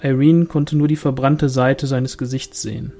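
A man's voice: mid-range voice, level tone, normal speed, warm tone, faint music behind. A man reading a novel aloud as scripted narration, in the manner of an audiobook. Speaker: audiobook reader.

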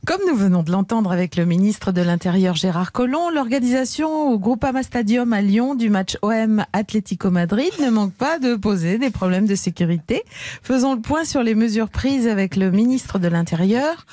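A woman presents in news style for a radio comedy sketch. Her medium-pitched voice moves around expressively. The studio microphone gives a close, dry sound. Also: animated, moderate pace, dark tone, no echo.

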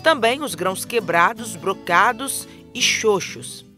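Woman narrator, steady instructional read, music bed clearly audible. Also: mid-range voice, takes it slowly. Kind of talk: scripted voice-over narration. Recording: music under the voice.

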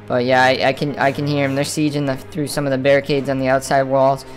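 A man's voice: mid-range voice, completely flat delivery, fast, lightly acted. A man plays a kraken villain in a Minecraft roleplay, a commanding but informal father. He orders his minions about and fiercely guards his child, with faint music and game gunfire behind him. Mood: commanding, protective.

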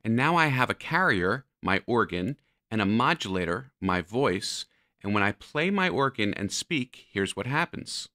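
Male tutorial narrator, bright voice, fairly low pitch, instructional, step-by-step screencast delivery. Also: very animated, a little slow.

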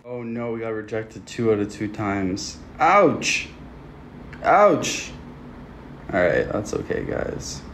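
A man's voice: on the low side, big pitch swings, takes it slowly, fairly bright tone, a little hiss or hum. A young man from Canada hosting a casual livestream, chatting with viewers into a phone held at arm's length in a small room. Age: young adult. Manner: chatty, self-talking, upbeat with viewers. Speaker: livestream host.

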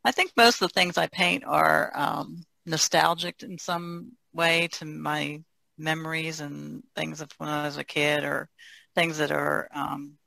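A woman's voice: low voice, fairly even intonation, unhurried, vocal fry, pushed and bright, no echo. A woman from the Chesapeake Bay region chatting casually and easily as a painter. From Chesapeake Bay region, United States. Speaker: painter being interviewed. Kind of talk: casual chat.

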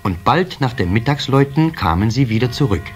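A man narrating a children's radio play in a measured storyteller's delivery. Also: mid-range voice, expressive. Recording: slightly hissy old cassette recording.

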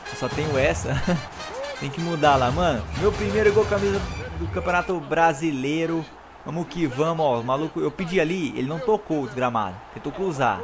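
Fast-talking hoarse Brazilian gamer guy. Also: a little high, music in the background. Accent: Brazilian Portuguese. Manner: excited, slangy, talks to viewers. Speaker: gaming YouTuber. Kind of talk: live gameplay commentary.

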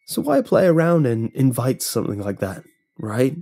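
A man's voice: mid-range voice, very animated, normal speed, mellow. A man voicing an uneasy, hesitant male security guard in a horror story. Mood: nervous, defensive. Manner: hesitant, stammering when pressed.

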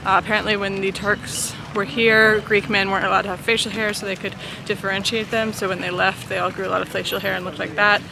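A woman's voice: mid-range voice, flat delivery, fast talker, creaky. A woman in her thirties from the United States, recapping a walking tour in a chatty, self-deprecating way, filming herself at arm's length outdoors. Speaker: travel vlogger. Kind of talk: casual travel vlog narration. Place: outdoors in a city park and plaza. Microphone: camera held at arm's length. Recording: outdoor city noise behind.

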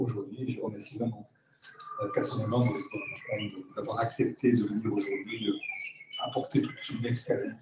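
A man giving halting opening remarks at a workshop, with many restarts. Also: medium pitch. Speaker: organiser of the workshop. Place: meeting room with tables in a U shape. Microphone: far room camera. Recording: echoey room, distant mic, noisy.

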